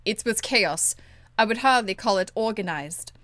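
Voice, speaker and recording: A woman's voice: mid-range voice, lively intonation, moderate pace, fairly bright tone, no room sound, lightly acted. A woman voicing a blunt, stern and dutiful warrior in a video game, speaking in a curt, earnest, formal way. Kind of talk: video game dialogue acting.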